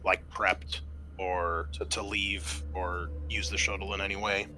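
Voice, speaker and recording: A man's voice: sits low, flat delivery, a little slow, sharp tone. A man acting as game master, explaining the rules and setting of a tabletop game in a conversational way. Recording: faint background noise.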